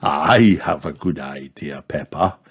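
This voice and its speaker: A man's voice: extremely low pitch, takes it slowly, creaky, husky, voice pushed down, full character voice. A man voicing a cheery, encouraging father pig in a children's storybook.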